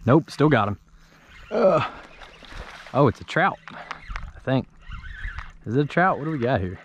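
A man's voice: medium pitch, big pitch swings, measured pace, dull audio. A young adult man giving casual commentary as a fishing vlogger, talking into an action camera outdoors. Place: outdoors, on open shallow bay water. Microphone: action camera worn or held close. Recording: outdoor camera with loud wind and water noise.

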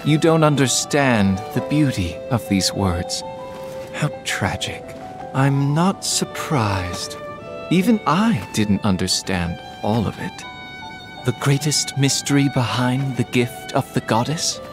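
Theatrical ex-soldier, obsessed with prophecy, grandiose, slow delivery, sweeping intonation, video game drama. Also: male voice, mid-range voice, animated, over music. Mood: grandiose, poetic. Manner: grandiose, measured, reciting like verse. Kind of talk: English video game dub acting.

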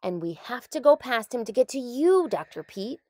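A woman voicing a little lizard in a children's storybook, excitable and emphatic, with a pleading manner. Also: fairly high pitch, normal speed, no echo, clean studio sound. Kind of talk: children's storybook read-aloud.

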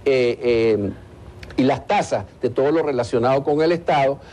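A man, giving hesitant, deliberate analysis in a television interview. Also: mid-range voice, darkish tone. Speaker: economic analyst being interviewed. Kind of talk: live television news interview. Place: TV studio in Caracas.

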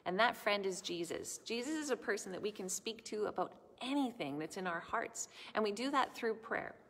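A middle-aged woman giving a children's sermon in a large church sanctuary, speaking gently and explaining things to children. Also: medium pitch, vocal fry. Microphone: camera in front, at close range. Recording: long church reverb.

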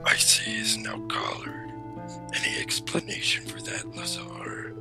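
A man voicing a menacing alien tyrant king, slow and imperious, in a rasping whisper. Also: over music, natural voice. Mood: cold. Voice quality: breathy, gravelly rasped whisper.

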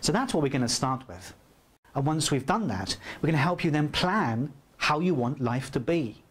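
Man, forties, husky voice, upbeat sales pitch, lapel mic indoors. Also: sing-song, normal speed. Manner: upbeat, persuasive. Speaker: course presenter. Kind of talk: promotional pitch to camera. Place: indoor room by a flip chart.

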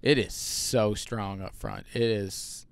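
A man's voice: on the low side, fairly even intonation, takes it slowly, clean tone, no room sound. A man co-hosting with casual commentary, chiming in agreeably as he goes. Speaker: co-host, bowler.

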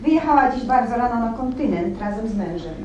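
Actress as a distant, polite maidservant. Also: female voice, on the high side, animated, brisk, a little mellow, muffled recording, close to an everyday voice. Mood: polite, flat. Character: polite, reserved female household servant. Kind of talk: Polish radio play acting. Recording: roomy, distant, faint background noise.

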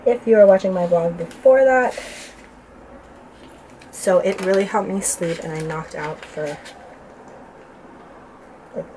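A woman's voice: fairly low pitch, dark tone, slight background noise, poor audio. A woman getting over a cold, sounding nasal, chatting casually with lots of ums as she walks through her planner stickers. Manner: chatty, rambling, many ums. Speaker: planner vlogger. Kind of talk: casual plan-with-me narration. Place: indoors at a desk, overhead camera.

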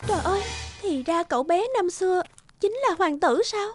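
A woman voicing a naive, gentle young princess in an animated fairy tale, speaking in an earnest, soft-hearted way. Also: very high-pitched, normal speed. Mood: hesitant, worried. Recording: music under the voice.

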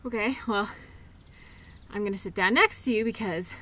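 A woman's voice: mid-range voice, moderate pace, pushed and bright. A woman playing an affectionate, playful girlfriend in a roleplay audio, talking breezily as if to her partner. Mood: playful, tired. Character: tired, playful, caring girlfriend at a mud race. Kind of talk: intimate roleplay audio acting, one-sided conversation.

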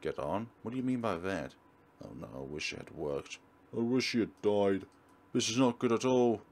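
A man's voice: fairly low pitch, moderate pace, darkish tone, a little hiss or hum, natural voice. A man voicing a troubled, frightened teenage schoolgirl, reading a visual novel in a dramatic, anxious way. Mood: uneasy, regretful. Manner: dramatic, anxious read-aloud.

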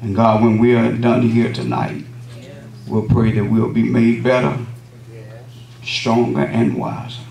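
A middle-aged man, a visiting pastor, opening his sermon and praying from the pulpit with a measured cadence and frequent amens. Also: medium pitch, flat delivery, creaky, a little mellow. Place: wood-paneled church sanctuary. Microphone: lectern microphone, camera at back of room. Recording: echoey room, distant camera, congregation responses.

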